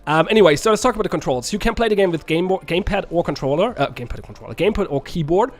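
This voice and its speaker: Slightly higher-pitched man, sick, rambling. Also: animated, very fast. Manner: rambling, self-correcting, laughs at own plays. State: a little sick, voice slightly rough. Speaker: game reviewer. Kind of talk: casual gameplay commentary.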